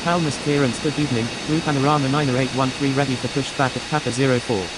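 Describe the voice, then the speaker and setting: A man playing a brisk, procedural airline pilot in a game, making scripted radio calls. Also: medium pitch, fairly even intonation, lightly acted. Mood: brisk, businesslike. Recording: hissy recording with whining engine noise.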